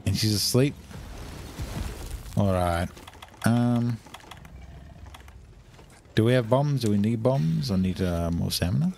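A young Australian man swearing freely and reacting loudly as he chats while streaming a game. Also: lowish voice, animated, slow, gravelly, pauses often, slight background noise. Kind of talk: casual live game commentary.

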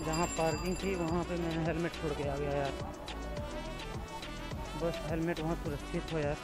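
A man's voice: on the high side, little pitch movement, moderate pace. A young man, chatting casually and informally to viewers as a travel vlogger. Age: 20s. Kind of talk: casual vlog chat to camera. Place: outdoors on busy streets and riverside. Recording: outdoor phone vlog with loud background music.

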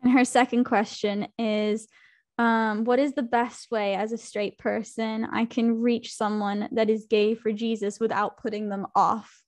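A woman's voice: a little high, level tone, measured pace, darkish tone, dry recording. A young woman hosting a podcast over a video call, reading listener questions aloud in a friendly way. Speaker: podcast host. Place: home room. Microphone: studio mic on a video call.

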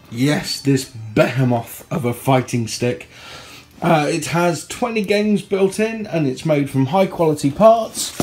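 Male reviewer from the UK, sore throat, gravelly, chatty, on a handheld phone at home. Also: on the high side, darkish tone, a little hiss or hum. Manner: chatty and enthusiastic, clears throat. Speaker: product reviewer. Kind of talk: casual unboxing review. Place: indoor home room. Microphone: phone held in hand.

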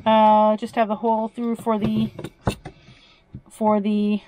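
A Canadian woman chatting to viewers in a van, lively and quick to laugh. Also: medium pitch, background noise. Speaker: van life vlogger. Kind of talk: casual chat to viewers. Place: small van interior.

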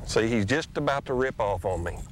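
A man's voice: medium pitch, fairly quick. Middle-aged guy, folksy drawl, fishing tips out on the boat, wind and water noise behind him. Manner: folksy, drawling, excited when a fish bites. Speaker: fishing show host. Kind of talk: casual outdoor instruction while fishing. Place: on a bass boat on an open lake outdoors. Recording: noisy outdoor boat audio, 1990s tape.

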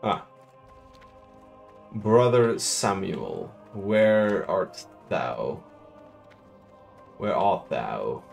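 Casting note: Dutch-accented male host, gravelly and unhurried, laid-back gamer chat. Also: deep voice, very animated, faint music behind. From Netherlands. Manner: laid-back, chatty, swears casually. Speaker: host and game master. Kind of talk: casual gameplay commentary.

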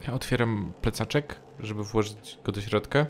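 A man's voice: fairly low pitch, normal speed, a little hiss or hum. A man playing a tabletop roleplaying game, improvising in character as one of the group.

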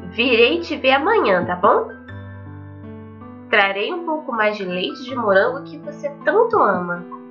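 A woman's voice: on the high side, big pitch swings, measured pace, muffled recording, soft background music. A woman voicing a sweet, cheerful, wistful schoolgirl character from an anime visual novel. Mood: wistful, tired.

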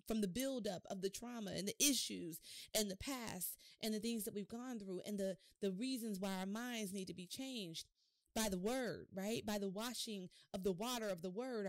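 A middle-aged woman delivers a devotional message on her podcast from a home studio. She preaches in an animated, expressive way and keeps asking her listeners rhetorical questions. Her voice sits mid-range and sounds close and dry, with no room echo. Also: mid-range voice. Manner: animated hand gestures while talking. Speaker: podcast host. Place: home office studio, indoors.

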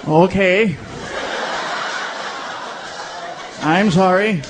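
A man's voice: high voice, takes it slowly, a crowd in the background, voice pushed down. Comedian as a hot-headed, slangy guy. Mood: cowed. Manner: blunt, slangy. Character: hot-headed, gullible male hotel guest, easily angered. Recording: noisy live comedy show audio.

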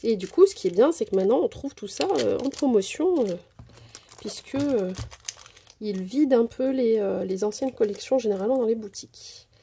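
A woman's voice: fairly high pitch, expressive, moderate pace, warm tone, a little hiss or hum. A woman casually narrating a craft supply haul at her table, chatty and hesitant with many fillers. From Portuguese descent. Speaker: craft vlogger. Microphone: camera over table, hands only.

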